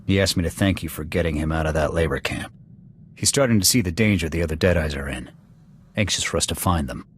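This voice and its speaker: An actor playing a gruff, proud military officer in a video game, terse and clipped in delivery. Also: male voice, low voice, fast talker, a little hiss or hum, close to an everyday voice. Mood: earnest, concerned. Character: gruff, proud male military sniper officer, loyal but hot-tempered. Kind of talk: video game dialogue acting. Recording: music behind the voice.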